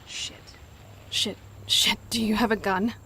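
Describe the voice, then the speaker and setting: A woman's voice: mid-range voice, steady tone, takes it slowly, sharp tone, a little hiss or hum. A woman playing a firm, exasperated young survivor in grief, speaking urgently and with authority in an audio drama. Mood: urgent fear. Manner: urgent, commanding, emotional. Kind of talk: audio drama acting, anime fan parody.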